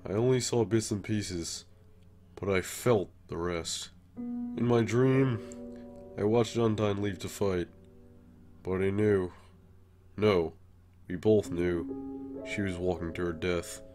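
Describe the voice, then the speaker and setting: Weary, laid-back skeleton for a cartoon comic dub, played by a man; lowish pitch, unhurried casual drawl. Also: over music. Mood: haunted, grave. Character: laid-back, weary male skeleton, secretly troubled brother. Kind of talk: animated comic dub acting.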